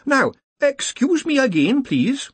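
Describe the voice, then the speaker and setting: A man's voice: extremely high pitch, big pitch swings, slow pace, breathy edge, no room sound, voice pushed up, exaggerated voice. A man voicing a fussy middle-aged wizard professor who sounds prim, doubtful and easily offended. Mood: querulous.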